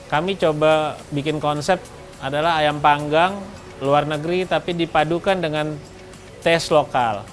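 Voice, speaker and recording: A man speaking as a restaurant co-owner in an interview, explaining things in a measured, halting way. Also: on the high side, soft background music. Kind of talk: interview talk, explaining a business.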